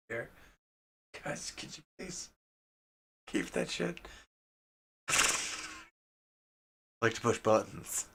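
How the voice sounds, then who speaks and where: Man, from Detroit, rambling streamer, profane, laughs a lot, animated pitch. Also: extremely slow, creaky, long pauses, a little hiss or hum. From Detroit, USA. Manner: profane, irreverent, laughs often. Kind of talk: casual rambling monologue.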